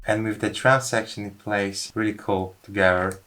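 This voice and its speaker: Low-voiced man, casual music producer. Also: level tone, normal speed. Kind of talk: casual track breakdown tutorial. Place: music studio. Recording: music playing behind the voice.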